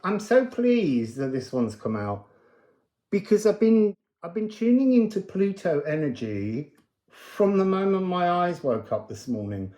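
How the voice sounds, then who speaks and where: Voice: medium pitch, big pitch swings, measured pace, mellow. A card reader talking casually through a spiritual reading in a musing, digressive way with emphatic word stress, in a voice of unclear gender. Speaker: tarot and oracle card reader. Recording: roomy, echoing sound.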